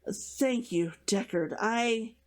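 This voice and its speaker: A woman voicing an uneasy, apologetic female character in a fantasy audiobook, speaking in a hesitant, halting way. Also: on the high side.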